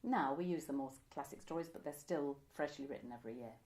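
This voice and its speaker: A woman's voice: sits low, fast, darkish tone. A woman. She talks informally as an interviewee, looking back chattily and often correcting herself as she goes. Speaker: interviewee, amateur pantomime writer. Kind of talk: casual reminiscing interview. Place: indoor room by a window, seated.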